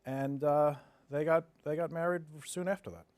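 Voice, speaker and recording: A man's voice: fairly high pitch, fairly even intonation, normal speed, a little mellow, clean tone, dry recording. A man in his fifties from New Jersey, telling stories casually and matter-of-factly as an interview guest. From New Jersey, United States. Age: 50s. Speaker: interview guest, development executive. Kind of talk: conversational interview storytelling.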